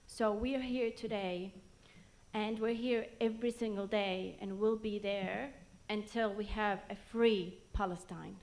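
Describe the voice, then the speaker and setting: A woman's voice: medium pitch, fairly even intonation, unhurried, darkish tone. A woman from Jerusalem giving an earnest, rousing speech at a rally in short phrases. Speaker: rally speaker.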